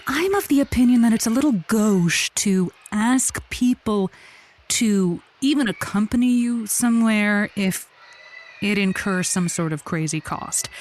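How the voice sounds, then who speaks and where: A woman's voice: mid-range voice, darkish tone, a little hiss or hum, lightly acted. A woman playing an upbeat, encouraging advice host on an improvised comedy call-in show. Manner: effusive, encouraging.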